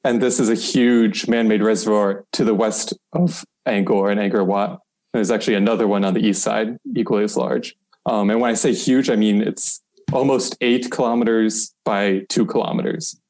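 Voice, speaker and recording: A man's voice: on the low side, fairly even intonation, moderate pace, a little mellow. A man chatting informally as a podcast guest, explaining and reading out reviews with light amusement.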